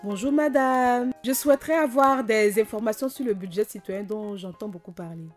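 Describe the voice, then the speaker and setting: A woman's voice: a little high, normal speed, faint music behind, lightly acted. A woman playing a courteous citizen asking questions formally in an educational cartoon. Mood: inquiring. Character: polite inquiring female citizen. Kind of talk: scripted dialogue in animated educational film.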